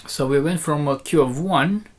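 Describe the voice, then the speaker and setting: A presenter giving an unscripted technical demonstration, explaining step by step in a hesitant, halting way with short fragments. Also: medium pitch. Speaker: presenter demonstrating audio equipment.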